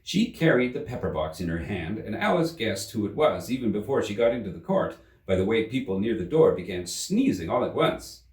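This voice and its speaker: Male storybook narrator: highly animated, expressive scripted read, middle pitch, echoey room. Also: a little fast, few pauses. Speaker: storybook reader. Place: room in a museum. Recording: roomy, slightly distant microphone.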